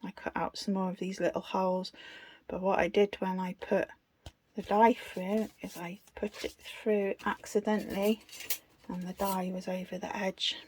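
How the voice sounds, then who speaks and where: A woman's voice: mid-range voice, level tone, normal speed, darkish tone, slight background noise. A British woman giving a casual, unscripted craft tutorial in a chatty, self-deprecating way. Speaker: craft video host.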